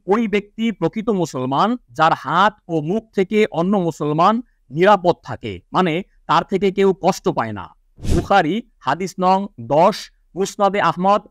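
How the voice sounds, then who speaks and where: Guy with a pretty high voice doing a formal islamic talk to camera, teacherly, dry close sound. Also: fairly bright tone, no room sound. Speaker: presenter of an Islamic educational video. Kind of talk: religious lecture to viewers, citing hadith and scripture.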